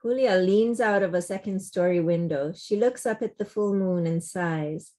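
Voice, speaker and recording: A woman's voice: mid-range voice, normal speed, warm tone. A woman reading scripted stage directions as narrator of a table read over a video call.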